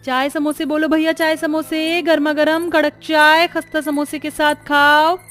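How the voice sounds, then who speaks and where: A woman's voice: sits high, little pitch movement, a little slow, fairly bright tone, soft background music, voice pushed up. A woman voicing a hardworking young daughter-in-law in a moral story, hawking tea and samosas in sing-song vendor calls. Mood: eager hawking.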